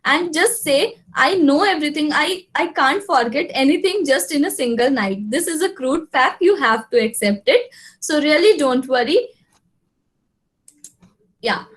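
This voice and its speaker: High-voiced Indian woman teacher, reassuring. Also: fairly bright tone. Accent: Indian English. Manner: reassuring, repetitive, switches between English and Hindi. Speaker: exam prep teacher. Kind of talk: live online class, answering student questions. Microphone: video call.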